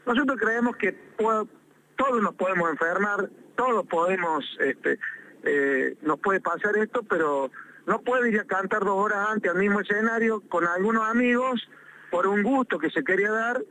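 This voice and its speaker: A man's voice: sits high, moderate pace, sharp tone. Aggrieved man on a tinny phone line. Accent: Argentine Spanish. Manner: aggrieved, insistent, repeats for emphasis. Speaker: mayor calling in. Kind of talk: phone interview.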